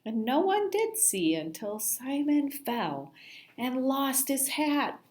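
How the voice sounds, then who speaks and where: A woman's voice: high-pitched, big pitch swings, slow, a little mellow. A woman narrating a children's story aloud expressively, like a teacher reading to a class.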